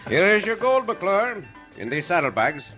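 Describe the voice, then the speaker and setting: A man's voice: high voice, big pitch swings, unhurried, music in the background. A man playing a bold, suave Mexican gunslinger hero in an old-time radio western, formal and confident, with a Mexican accent. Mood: cool defiance.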